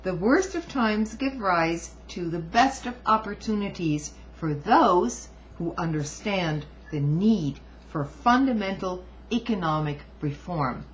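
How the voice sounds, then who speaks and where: Female commentator reading aloud, very unhurried and deliberate, emphatic asides, dull home recording. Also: fairly low pitch, lively intonation, a little hiss or hum. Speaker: amateur book reviewer and commentator.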